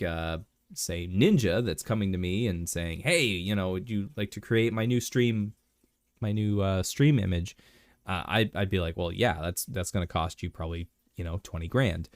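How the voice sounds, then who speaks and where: A man streaming live and chatting casually while sick, clearing his throat, rambling with many fillers and restarts. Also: on the low side, darkish tone, no room sound, clean studio sound.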